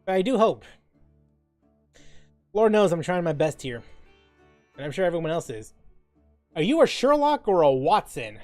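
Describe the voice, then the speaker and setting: Man, slightly high voice, casual gaming streamer, informal rambling talk, faint music behind. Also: very animated, normal speed, long pauses, darkish tone, dry recording. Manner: informal, rambling, mildly profane. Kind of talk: casual stream chat, reading quiz questions aloud and reacting.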